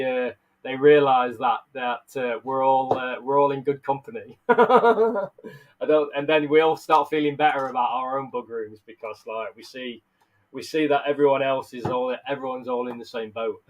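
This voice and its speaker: A man's voice: mid-range voice, muffled recording. A man in his thirties with a blocked-up, nasal voice from illness, rambling casually to livestream viewers and laughing often. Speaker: live streamer and bug keeper. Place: bug room, indoors.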